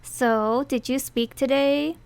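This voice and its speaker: A woman's voice: high voice, steady tone, takes it slowly, gravelly, heavy background noise. A young woman from the United States, whose first language is Thai, narrating her own story in a chatty, self-deprecating way. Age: young adult.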